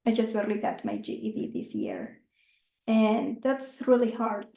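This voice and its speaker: Woman, young, earnest, halting, high voice, echoey room. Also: sing-song, vocal fry, dark tone. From Mexico. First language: not English. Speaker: speaker. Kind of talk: informational talk. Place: meeting room.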